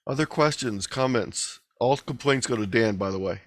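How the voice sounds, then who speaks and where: A man's voice: mid-range voice, normal speed, dry recording. Man moderating, close dry sound. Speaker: presenter and moderator. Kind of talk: informal online meeting talk.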